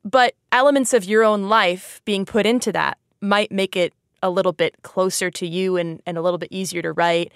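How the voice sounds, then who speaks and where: A woman's voice: medium pitch, steady tone, moderate pace, hardly any pauses, clean tone, dry recording. Chatty woman hosting a casual learning podcast, informal, giggles easily, dry close sound. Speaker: podcast host. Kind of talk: casual educational podcast chat.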